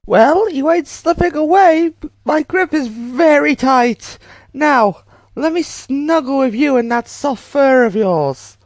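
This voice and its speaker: A woman's voice: high voice, expressive, takes it slowly, no echo, heavily acted. A woman voicing a doting, clingy animatronic caretaker who coos and coaxes in baby talk for a comic dub. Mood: playful, possessive. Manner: cooing baby talk, coaxing. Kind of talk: amateur comic dub voice acting.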